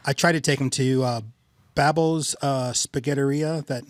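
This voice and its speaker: A man's voice: mid-range voice, fairly even intonation, normal speed, dry recording. Hesitant man hosting a podcast. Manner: conversational, hesitant with many fillers. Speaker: podcast host. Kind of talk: casual podcast chat.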